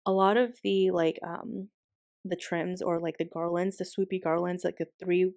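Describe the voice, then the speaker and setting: A young woman hosting her planner channel in a casual voiceover, slightly hoarse from losing her voice, chatty and rambling with many ums and likes, recorded in her bedroom. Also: lowish voice, level tone, normal speed, darkish tone, no echo, studio quality.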